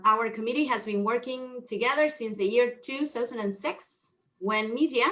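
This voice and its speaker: Argentine woman, host, intro speech, bright forceful tone, earnest with light nerves. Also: on the high side. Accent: Argentine Spanish. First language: Spanish. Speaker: event host.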